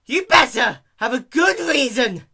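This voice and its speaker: A man voicing an exasperated, hot-tempered young female cartoon pegasus, whiny and dramatic, with shouting. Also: very high-pitched, very animated, measured pace, gravelly, slightly rough, voice pushed up, exaggerated voice. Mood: furious, whining.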